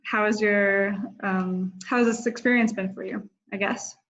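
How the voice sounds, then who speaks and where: Casting: female online workshop host, informal and hesitant, middle pitch, muffled lo-fi call sound. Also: fairly even intonation, normal speed, fairly bright tone. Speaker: online workshop host managing breakout rooms. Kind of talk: casual facilitation chat on a video call. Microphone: video call. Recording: dull lo-fi video call audio.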